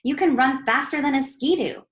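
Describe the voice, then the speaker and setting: Woman voicing an eager, excitable young schoolgirl. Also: high-pitched, moderate pace, voice pushed up. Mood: excited. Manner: eager, emphatic. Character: excitable, wide-eyed young schoolgirl, earnest and encouraging.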